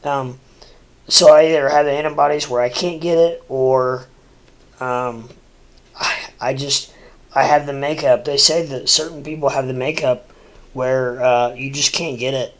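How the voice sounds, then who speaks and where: A man rambling through a casual livestream, stuffy and congested, halting with lots of fillers and restarts. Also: medium pitch, fairly even intonation. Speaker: crypto livestreamer. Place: home room, seated at a desk.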